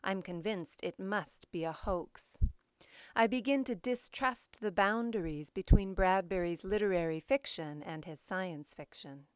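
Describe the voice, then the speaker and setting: A woman reads in a literary, scripted style, with slow and deliberate phrasing. Her voice is clear and smooth, sitting at a medium pitch. The sound is dry, with very little room around it. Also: no room sound. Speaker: poetry show host and reader. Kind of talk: literary poetry reading, scripted introduction.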